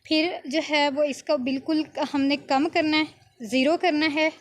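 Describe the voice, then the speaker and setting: A high-pitched woman talks through a software tutorial step by step. She is informal and keeps adding little check-in tags as she teaches. There is faint background noise on the recording. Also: steady tone, measured pace. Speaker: video editing tutor.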